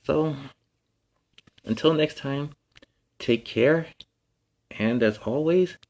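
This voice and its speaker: A man playing a friendly, earnest young superhero who gently explains things as a children's story time host. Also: a little high, drawn-out words. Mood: friendly, signing off. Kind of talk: informal talk to an online audience.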